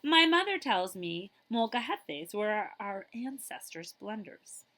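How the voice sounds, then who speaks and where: A woman's voice: mid-range voice, big pitch swings, takes it slowly, fairly bright tone. A woman school librarian reading a children's picture book aloud expressively, with chatty asides to her listeners.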